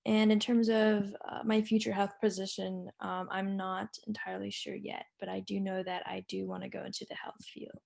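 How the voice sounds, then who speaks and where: Creaky, even-toned young woman. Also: medium pitch, normal speed, hardly any pauses. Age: around 20. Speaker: student ambassador. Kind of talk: informal self-introduction.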